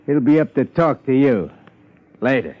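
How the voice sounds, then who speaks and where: Tough thirtyish American muscle man, medium pitch, clipped threats, dull vintage radio sound. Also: sing-song, normal speed. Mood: menacing. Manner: curt, slangy tough talk. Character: short, menacing thirtyish male gunman, tough and sardonic. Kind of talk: 1950s radio crime drama acting. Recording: dull 1950s radio recording.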